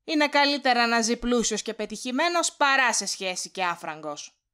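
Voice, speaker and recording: A woman's voice: a little high, lively intonation, sharp tone, clear, resonant tone. A woman playing a vain, scheming boss in an audio drama, with a condescending, cutting manner. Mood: condescending, persuasive. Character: haughty scheming vain female boss, cold villain. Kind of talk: Greek audio drama acting.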